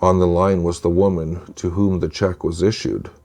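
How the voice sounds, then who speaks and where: Deep, mellow-voiced male storyteller. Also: level tone, moderate pace. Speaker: narrator of true scary stories. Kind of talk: scripted storytelling narration.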